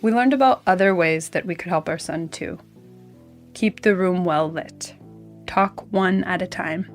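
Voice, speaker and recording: A woman reads her own essay as a parent, gentle and reflective. Her voice is on the low side. Soft music plays faintly behind her. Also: measured pace. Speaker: parent of a deaf son. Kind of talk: personal essay reading.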